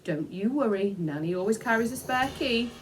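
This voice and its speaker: A woman voicing a bossy but doting old nanny in a children's storybook, brisk and coaxing. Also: fairly high pitch, expressive, a little hiss or hum, pitched above the performer's own voice, close to an everyday voice, rough recording. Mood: coaxing, pleased. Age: older.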